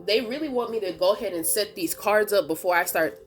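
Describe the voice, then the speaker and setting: A woman's voice: mid-range voice, a little fast, soft background music. A woman with a stuffy nose from a cold, chatting casually in as she gives a tarot reading, slangy and laughing often. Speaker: tarot reader and channel host.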